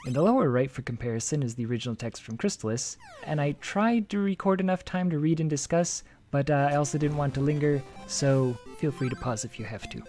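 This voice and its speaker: Energetic man hosting a game translation. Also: a little high, fairly quick, hardly any pauses, faint music behind. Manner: lively, jokey, breaks off to comment with sudden excitement. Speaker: host and translator of a video game. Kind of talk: scripted commentary reading translated game text.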